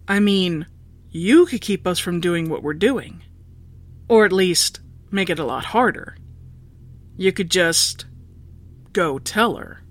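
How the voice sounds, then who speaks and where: An actress playing a calm, persuasive revolutionary plotter in a naturalistic audio drama. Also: female voice, lowish voice, slow pace, long pauses. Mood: pointed.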